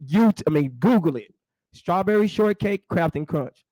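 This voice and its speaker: A man's voice: high-pitched, animated, normal speed, no echo, muffled recording. Laid-back man in his forties. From Dallas, Texas. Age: 40s. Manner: laid-back, slangy storytelling. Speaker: show host. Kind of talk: casual podcast chat.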